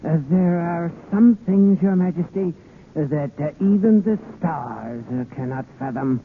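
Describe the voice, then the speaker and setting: An actor playing a solemn, deferential court astrologer in old radio drama style, speaking formally with portentous hesitation. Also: male voice, on the high side, measured pace. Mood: nervously deferential. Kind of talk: 1940s radio acting. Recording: tinny, hissy old radio broadcast.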